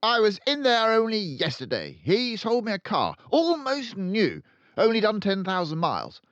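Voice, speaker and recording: Storybook villain: bullying headmistress played by a man, high and loud, barked out impatiently, dull recording. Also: wildly swooping pitch, moderate pace, no room sound, heavily acted. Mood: emphatic, approving. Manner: barking, shouting. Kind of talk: children's storybook read-aloud.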